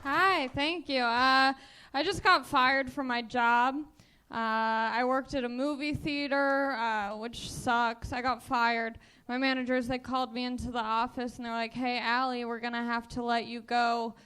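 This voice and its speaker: A woman in her twenties doing a stand-up set in a flat, monotone voice. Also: a little high, measured pace, slight background noise. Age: 20s. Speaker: stand-up comedian.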